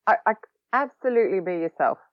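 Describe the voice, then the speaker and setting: A woman's voice: medium pitch, expressive, no echo. Chatty Australian woman, dull dry sound. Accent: Australian, long lived in London. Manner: chatty, quick-witted, a bit sarcastic. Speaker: podcast guest, social recruiting trainer. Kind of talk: casual podcast interview.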